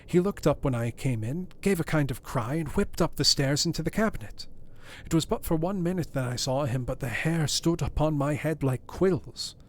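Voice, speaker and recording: A man voicing a frightened, loyal old male butler who pleads in rustic phrasing, raised in pitch above his own voice. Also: expressive, normal speed, lightly acted.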